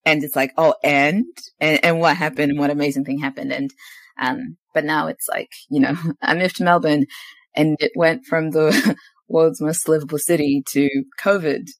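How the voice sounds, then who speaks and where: A woman's voice: low-pitched, normal speed, no echo. A woman in her twenties who grew up in Zimbabwe and New Zealand, hosting a podcast and chatting casually, telling stories with frequent laughs. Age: 20s. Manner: chatty, laughs often, animated storytelling. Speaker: podcast host. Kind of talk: casual conversation.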